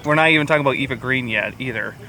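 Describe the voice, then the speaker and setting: A man's voice: rapid-fire, crowd noise, rough recording. A man co-hosting a podcast, casually reviewing a movie in a crude, joking, profane way. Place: outdoors or in a car near a highway. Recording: outdoor traffic noise from nearby highway. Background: highway traffic.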